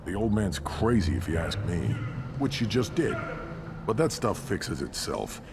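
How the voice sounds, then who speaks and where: An actor voicing a cocky, gruff alien mechanic in a video game, blunt and boastful. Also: male voice, fairly low pitch, very animated, a little slow, background noise. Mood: blunt, dismissive. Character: gruff cocky alien warrior-race mechanic, adult male. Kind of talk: video game dialogue acting.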